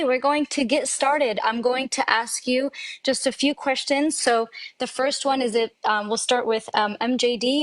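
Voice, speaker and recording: A woman's voice: fairly high pitch, dry recording, low-quality recording. A woman hosting a casual live panel stream, welcoming guests and reading introductions with frequent "um" fillers.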